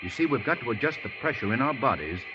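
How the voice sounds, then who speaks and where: An actor playing a calm, decisive gentleman detective in a 1930s radio drama, authoritative and patient, on a muffled, reverberant old recording. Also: mid-range voice, quick pace, music in the background, lightly acted. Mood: calm, firm.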